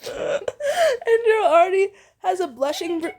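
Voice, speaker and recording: A woman's voice: very high voice, wildly swooping pitch, drawn-out words, a little mellow. A young woman reading fan fiction aloud casually, giggly and often breaking off into banter. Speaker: amateur fan fiction reader and co-host.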